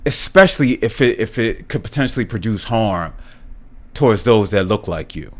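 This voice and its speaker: A man's voice: fairly low pitch, animated, normal speed. A middle-aged man hosting a podcast alone, speaking earnestly, often repeating and restarting words and lifting his voice when he stresses a point. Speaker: podcast host. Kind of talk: solo commentary.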